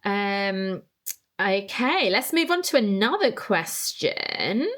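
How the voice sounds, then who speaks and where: A woman's voice: mid-range voice, big pitch swings, slow pace, fairly bright tone. A young woman hosting a casual solo podcast, chatting in a rambling way and reading out listener answers. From England. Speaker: podcast host.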